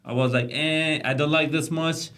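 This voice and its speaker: A man's voice: on the high side, steady tone, moderate pace, a bit bright. Enthusiastic man. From Kosovo. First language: Albanian. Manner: enthusiastic, repeats words, non-native phrasing. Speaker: restaurant owner, guest. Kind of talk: casual interview chat.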